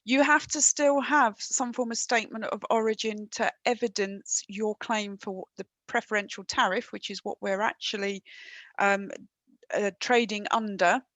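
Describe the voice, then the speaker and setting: A woman's voice: medium pitch, steady tone, normal speed, a bit bright, no echo. A woman presenting on trade and customs rules in a webinar over a headset. She talks conversationally, with frequent ums and dry, wry asides.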